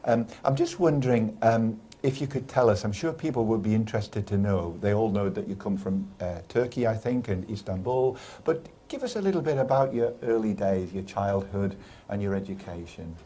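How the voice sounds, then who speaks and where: Man from the UK; interviewer, low mellow voice, encouraging and hesitant. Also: normal speed, hardly any pauses, husky. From United Kingdom. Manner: warm, encouraging questions with hesitations. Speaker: interviewer, neurologist. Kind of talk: oral history interview.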